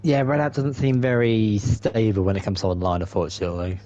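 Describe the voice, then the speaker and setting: Man, friendly gamer on party chat, low mellow voice, muffled lo-fi audio. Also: steady tone, brisk. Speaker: fellow player on party chat. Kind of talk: casual chat. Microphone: online party voice chat. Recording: dull lo-fi voice chat.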